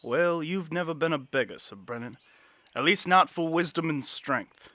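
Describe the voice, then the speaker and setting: An actor playing an earnest young political advisor in a fantasy audio drama, speaking formally and with deference. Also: male voice, a little high, bright, forceful tone. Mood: sincere, respectful. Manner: formal, measured, deferential archaic phrasing.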